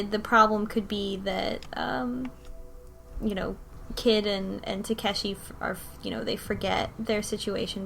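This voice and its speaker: A woman's voice: mid-range voice, creaky, slight background noise. Rambling woman on a call. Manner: rambling, thinks aloud with fillers. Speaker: book club member. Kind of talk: casual group chat. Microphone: online call.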